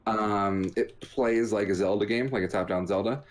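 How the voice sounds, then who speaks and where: A man's voice: lowish voice, moderate pace. A man co-hosting a gaming podcast in casual chat, with a jokey, rambling way of talking. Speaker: podcast co-host reviewing video games.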